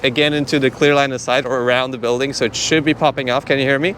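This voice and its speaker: A man's voice: medium pitch, steady tone, fast talker, background noise. A man giving a casual, chatty product demonstration as a vlogger.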